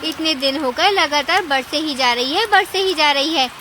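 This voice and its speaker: A woman's voice: high-pitched, normal speed, background noise, close to an everyday voice. A woman playing a clever, sympathetic female bird in a cartoon story, talking in a chatty, dramatic, coaxing way. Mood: chatty, pleading. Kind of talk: Hindi cartoon story acting.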